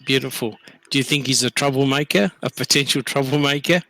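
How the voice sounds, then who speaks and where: Brief: Australian male host, bright, close dry sound, leads with questions. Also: mid-range voice, brisk, no room sound. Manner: leads with questions, many fillers. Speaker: podcast host. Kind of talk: casual group discussion.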